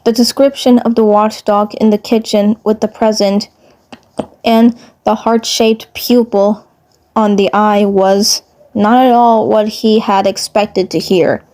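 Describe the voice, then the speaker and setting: A woman narrating a fan fiction story aloud in a halting way, often stumbling and rereading words. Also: medium pitch, flat delivery, darkish tone.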